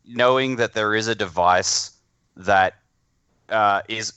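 A man's voice: lowish voice, slow pace, bright, forceful tone, clean tone, dry recording, lo-fi sound. An Australian man chatting casually as a podcast guest, blunt and self-deprecating, with plenty of swearing. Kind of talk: casual chat.

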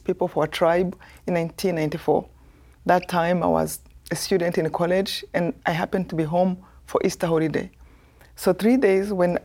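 A woman from Rwanda in her fifties with a Rwandan accent, telling her life story as a guest in an earnest, soft-spoken way. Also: lowish voice. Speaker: guest, author.

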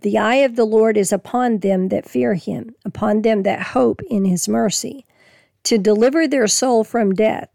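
Woman Bible teacher, hoarse and folksy, reads verses slowly, gravelly voice. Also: mid-range voice, darkish tone, no echo, clean studio sound. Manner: folksy, reads scripture slowly then explains plainly. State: losing her voice. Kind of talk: casual Bible teaching with scripture reading.

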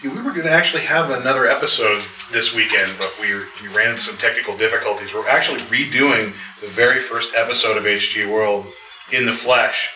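A man speaking casually on a live outdoor panel as a series creator, often halting and repeating words. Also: mid-range voice, bright, forceful tone. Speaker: audio drama writer and series creator. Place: outdoor patio at a convention. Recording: echoey, distant, noisy outdoor recording.

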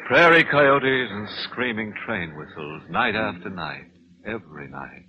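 An actor playing a ghoulish, mock-cordial horror host with macabre relish on an old radio show. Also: male voice, on the low side, lightly acted. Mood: ominous relish. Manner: slow, drawn-out, chuckling, swooping. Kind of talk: 1940s radio horror hosting. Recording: tinny, noisy old radio recording.

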